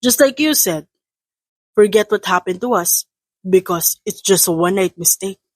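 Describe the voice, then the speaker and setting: A woman's voice: mid-range voice, lively intonation, a little slow, no echo, pitched below the performer's own voice. A woman voicing a smug, teasing young businessman in an audio drama, with a smirking, amused manner.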